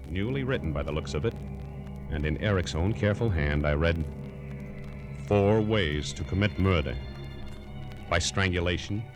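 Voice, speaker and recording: An actor playing an uneasy, tired man who suspects his companion, in a hesitant, brooding old-time radio drama style. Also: deep voice. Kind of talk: old-time radio drama acting, first-person narration.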